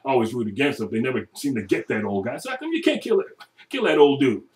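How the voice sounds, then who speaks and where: A middle-aged man recording a chatty, joking audition, laughing often and now and then dropping into a booming announcer voice. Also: very animated, fast, darkish tone, far from the mic, a little hiss or hum. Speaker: voice-over audition. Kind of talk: self-made audition video.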